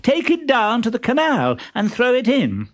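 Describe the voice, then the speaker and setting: A man voicing a bold, mischievous young woman in a children's storybook, brisk, playful and coaxing. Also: extremely high pitch, huge pitch swings, a bit bright, pitched above the performer's own voice, exaggerated voice. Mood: mock-stern.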